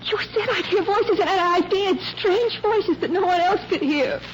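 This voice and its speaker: A high-voiced, fragile young woman close to breakdown. She speaks in halting, trembling repeats, in the manner of old radio mystery, on a muffled, hissy recording. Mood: feverish, distraught. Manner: tremulous, halting, repeating herself. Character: frightened, morbid, emotional young woman on the edge of breakdown. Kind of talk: 1940s radio mystery acting.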